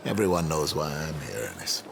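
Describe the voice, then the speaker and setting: An actor playing a reflective older academic mentor in a radio drama, eloquent, measured and wry. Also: male voice, a bass voice, big pitch swings, vocal fry, noisy background, full character voice. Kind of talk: naturalistic radio drama dialogue.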